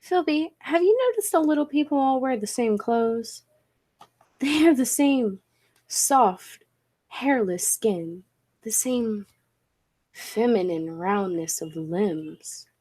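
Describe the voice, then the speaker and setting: A woman's voice: a little high, animated, slow, warm tone. A woman playing a skeptical, intense professor of science, speaking earnestly and emphatically in a 1940s-style radio play. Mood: observant. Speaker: amateur drama club actor.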